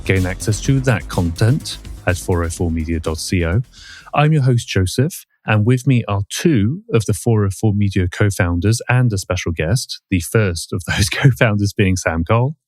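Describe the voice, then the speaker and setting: This is a man hosting a podcast, his voice a little low and roughened by hoarseness. He keeps things brisk and upbeat as he steers the conversation. The sound is close and dry, with no room echo. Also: animated, darkish tone, soft background music. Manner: brisk, upbeat host reading intros and steering. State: hoarse from poor sleep. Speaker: podcast host. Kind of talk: casual podcast chat.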